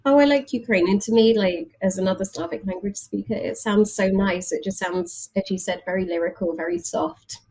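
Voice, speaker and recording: A woman's voice: medium pitch, brisk, hardly any pauses, darkish tone, slightly rough, poor audio. A woman chatting casually as an interviewer, asking questions and responding to her guest.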